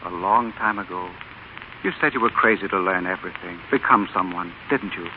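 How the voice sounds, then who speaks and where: An actor playing an earnest, proper, reproving husband in a 1930s radio drama, speaking in a measured, formal, pleading way. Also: male voice, low voice, lightly acted. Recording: muffled, noisy old radio broadcast.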